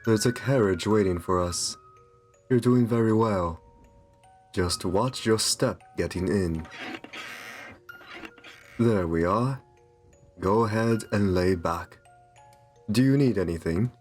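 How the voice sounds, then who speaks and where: A man playing a courtly, soothing vampire in an ASMR roleplay, speaking softly and genteelly with a possessive kindness. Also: lowish voice, soft background music. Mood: soothing, caring. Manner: soft, soothing, genteel, unhurried.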